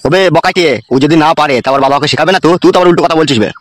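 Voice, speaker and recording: A rough, bossy cartoon ringleader, voiced by a man talks in a slightly raised, quick-fire way, crude and mocking. A faint hiss sits under the voice. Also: a little high, natural voice. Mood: teasing. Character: crude bossy gang leader teasing a friend.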